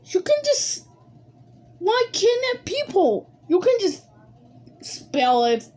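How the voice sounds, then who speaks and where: A woman improvising dialogue for squabbling cartoon alphabet letters in an amateur animated skit, with halting, repetitive phrasing. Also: extremely high pitch.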